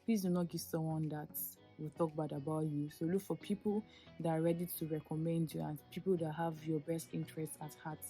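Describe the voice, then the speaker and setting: A woman's voice: low-pitched, flat delivery, fairly quick, dark tone, soft background music. A Nigerian woman in her twenties, a Yoruba speaker, giving earnest, chatty advice in a vlog with many fillers and restarts. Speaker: YouTuber giving application advice.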